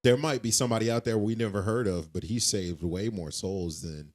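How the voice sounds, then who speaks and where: A man with a deep, low voice talks in a relaxed podcast chat. He speaks earnestly and a little preachy, circling back and restarting phrases as he builds to his point. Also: a little fast. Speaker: podcast co-host. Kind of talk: casual faith chat.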